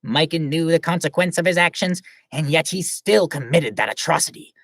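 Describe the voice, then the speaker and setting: A woman voicing a grave, superior guardian angel who speaks slowly and solemnly. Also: low-pitched, lively intonation, dry recording, clean studio sound, pitched above the performer's own voice. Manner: slow, solemn.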